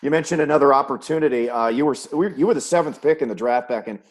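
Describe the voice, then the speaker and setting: A man's voice: medium pitch, quick pace. An American man working as a sports broadcaster, hosting an interview show with introductions and questions.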